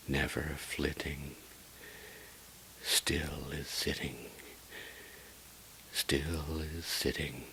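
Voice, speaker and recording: A man giving a theatrical poetry reading in an intense, drawn-out manner, dropping into breathy whispers. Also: rumbling low, a bit bright, a little hiss or hum, rough recording. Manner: intense, melodramatic, drawn-out delivery. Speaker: poetry reader. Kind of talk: theatrical dramatic poetry recitation.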